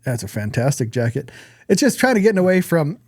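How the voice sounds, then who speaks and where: A man's voice: on the high side, sing-song, a little fast, a little mellow, no echo, studio quality. A man co-hosting a podcast, chatting casually in an informal, rambling way. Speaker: podcast co-host.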